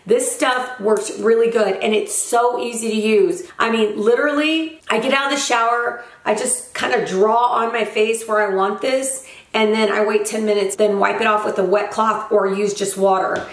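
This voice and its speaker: A young woman's voice, scratchy and hoarse from a recent cold, sits a little high as she talks eagerly through her favorite beauty products. She chats casually, with plenty of "like" and "um". The sound carries the echo of a roomy space at home. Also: normal speed. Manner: chatty, enthusiastic, frequent "like" and "um". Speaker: beauty vlogger.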